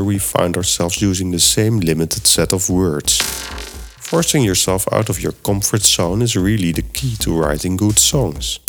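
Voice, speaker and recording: A middle-aged man, a native Dutch speaker with a Dutch accent, hosting a podcast and reading scripted segments with step-by-step explanations. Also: low-pitched. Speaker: podcast host. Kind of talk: scripted podcast narration and software tutorial.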